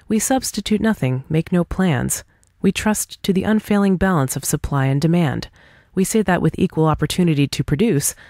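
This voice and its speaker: A woman's voice: sits low, a little mellow, no echo. Woman reading as confident, articulate individualist debater, close dry sound. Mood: firm, reasoning. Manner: articulate, deliberate, rhetorical questioning. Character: confident, argumentative individualist debater, patient but pointed. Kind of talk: scripted dialogue reading for an audiobook.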